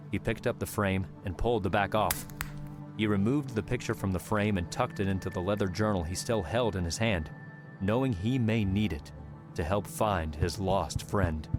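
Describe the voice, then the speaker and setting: A man reading scripted story narration for an audiobook. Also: lowish voice.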